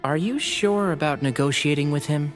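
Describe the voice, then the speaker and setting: A man's voice: fairly high pitch, moderate pace, soft background music, close to an everyday voice. An actor playing a loyal young male scholar who talks in a plain, agreeable way. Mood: earnest. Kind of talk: video game voice acting.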